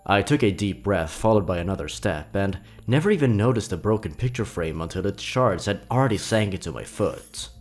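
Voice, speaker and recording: A man's voice: fairly low pitch, expressive, brisk, few pauses, soft background music. A man narrating scripted horror stories in a suspenseful, theatrical manner.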